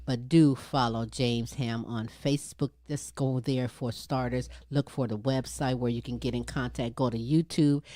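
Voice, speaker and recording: Sassy low-voiced woman talk show host. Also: steady tone, moderate pace, few pauses, mellow, no echo. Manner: playful, sassy, drawls "baby". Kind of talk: lively casual show chat.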